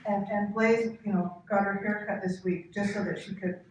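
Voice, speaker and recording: A woman's voice: mid-range voice, steady tone, moderate pace, a loud crowd. A woman, the author, hosting a live table read and narrating the stage directions. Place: cramped bookstore, live event. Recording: very echoey room, distant mic, clear noise.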